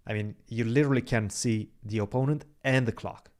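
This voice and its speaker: A man's voice: mid-range voice, steady tone, a little fast, a little mellow. A young man whose first language is Romanian, co-hosting a chess stream, chatting casually with viewers and thinking aloud over moves. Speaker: chess podcast co-host reading chat. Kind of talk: casual livestream chat with game commentary.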